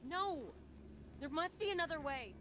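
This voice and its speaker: A woman's voice: very high-pitched, lively intonation, normal speed. A woman playing an impassioned, loyal and defiant younger heroine in a video game cutscene, speaking emotionally and insistently. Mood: pleading, distressed. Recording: loud effects and ambience behind voice.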